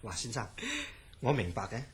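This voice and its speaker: A man playing a composed, probing psychiatric hospital director in a horror radio drama, measured and authoritative. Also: lowish voice, natural voice.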